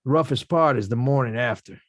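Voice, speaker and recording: A man reading the part of a rough-talking young male boxer at a script table read, slangy and self-mocking. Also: medium pitch, fairly quick, no echo, studio quality, close to an everyday voice.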